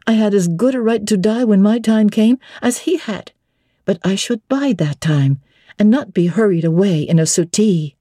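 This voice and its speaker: A woman voicing a proud, flinty young bride-to-be in a classic novel reading, brisk and firm in her retorts. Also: medium pitch, lively intonation, mellow, no room sound, clean studio sound, pitched above the performer's own voice, close to an everyday voice. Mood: indignant.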